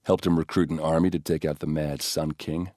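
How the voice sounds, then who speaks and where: A man's voice: rumbling low, little pitch movement, brisk, warm tone, dry recording, clean studio sound. A man acting a blunt, brash and loyal soldier with a wry, informal manner in a video game. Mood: wry, matter-of-fact. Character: gruff, brash soldier, loyal and grieving his sister.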